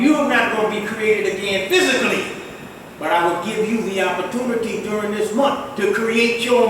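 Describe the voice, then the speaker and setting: An older imam delivers an emphatic Friday sermon in a high, climbing voice, his first language not Arabic. He sounds distant from the microphone, his words ringing through a large, echoing prayer hall. A faint rumble sits underneath. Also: fairly bright tone. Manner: emphatic preaching with rising exclamations. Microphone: distant mic in a mosque. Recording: echoey hall, far from the mic, faint rumble.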